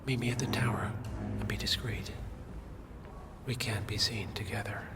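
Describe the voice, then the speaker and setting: An actor playing a cultured older man, a secret-society mastermind in a video game cutscene, speaking softly and formally with cool condescension. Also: on the low side, steady tone, music in the background, close to an everyday voice. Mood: guarded, polite. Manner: measured, formal, understated, condescending.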